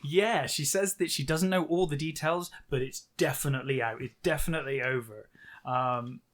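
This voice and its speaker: A man's voice: on the high side, normal speed. A man co-hosting a podcast, talking casually and jokily as he discusses a story. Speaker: podcast co-host.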